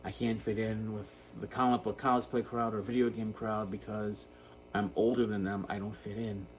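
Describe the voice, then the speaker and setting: A man in his fifties giving an unscripted personal monologue in a halting, self-reflective way. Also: lowish voice, little pitch movement, rough recording. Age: 50s. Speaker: video creator talking about personal issues. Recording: noticeable background noise.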